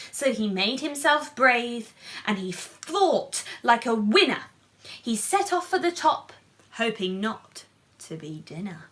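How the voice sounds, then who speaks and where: A woman reads a picture book aloud in a bright voice at a slow, careful pace. She is expressive and playful, speaking straight to young listeners as a storyteller. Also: a little high. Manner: animated, asks young listeners questions with playful asides.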